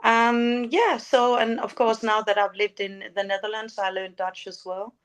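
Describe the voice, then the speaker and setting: A woman's voice: medium pitch, fairly even intonation, normal speed, smooth. Older female interview guest, native Danish speaker, mid-range voice, casual and somewhat hesitant delivery. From Denmark. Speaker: podcast guest, lifestyle coach.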